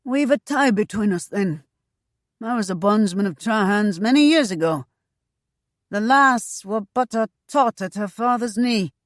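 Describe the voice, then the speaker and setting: A woman voicing a fat, bitter male pirate eunuch who gloats in rough tavern dialect. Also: medium pitch, animated, unhurried, no room sound, clean studio sound, pitched above the performer's own voice, natural voice. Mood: bitter, gloating. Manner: coarse dialect, tavern bluster.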